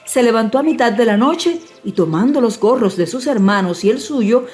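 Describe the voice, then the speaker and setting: A woman storyteller giving an expressive, measured narration of a dramatized fairy-tale audiobook. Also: a little high. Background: music.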